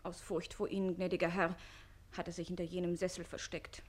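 An actress playing a spirited, sharp-tongued young lady's maid in a German radio play, pert and quick-witted. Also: on the low side, flat delivery, husky. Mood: pleading, earnest.

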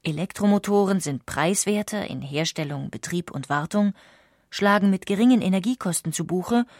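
A woman reads scripted documentary narration, articulating clearly as she explains. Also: mid-range voice, a little mellow, no room sound, clean studio sound.

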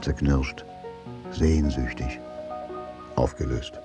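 The brooding man who links the scenes of a late-night radio thriller, low and unhurried, dramatically intense. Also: steady tone, over music. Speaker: narrator of a radio play. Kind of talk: dramatic scripted narration.

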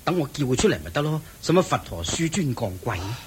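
An actor playing a devout lay host who eagerly and respectfully serves the Buddha, in a radio play. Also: male voice, medium pitch, big pitch swings, fast talker, slight background noise, lightly acted. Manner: respectful, eager, fussing politely. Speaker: householder hosting the Buddha. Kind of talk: Buddhist radio drama acting.

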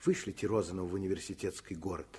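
A man's voice: sits low, steady tone, racing, a little mellow, breathy edge. A man playing an earnest, stubborn, caring middle-aged doctor in a Soviet radio play, speaking with emphasis. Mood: persuasive.

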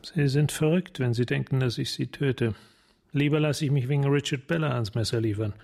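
A man playing a weary, guilt-ridden police sergeant in a radio drama, blunt, with a gravelly, husky voice. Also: mid-range voice, a little fast, a little mellow. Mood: defiant.

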